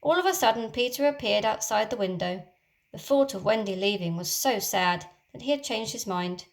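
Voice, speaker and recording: A woman teacher reading a children's storybook aloud, with expressive, dramatic storytelling. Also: medium pitch, moderate pace, gravelly.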